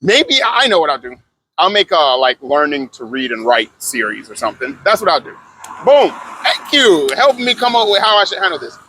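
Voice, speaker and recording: A man's voice: on the high side, very animated, a little fast, bright, forceful tone, slight background noise, poor audio. A man talking casually in a rambling vlog about learning languages, slangy and upbeat, with lots of fillers and restarts. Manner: loose and conversational, lots of fillers and self-corrections.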